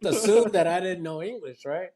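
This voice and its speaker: A man's voice: high-pitched, normal speed, a crowd in the background. Laid-back Texan man hosting a podcast. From Texas, USA. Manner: relaxed, swears freely, laughs often. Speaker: podcast host. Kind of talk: casual chat.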